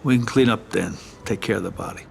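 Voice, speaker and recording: An actor playing a calm, dry, calculating mafia consigliere in a video game cutscene. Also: male voice, fairly low pitch, quick pace, creaky, a little hiss or hum.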